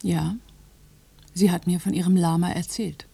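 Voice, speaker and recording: A woman playing a sceptical female inspector in a radio drama, calm, measured and challenging, with a husky, breathy voice. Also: fairly low pitch, full character voice. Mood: quietly defiant.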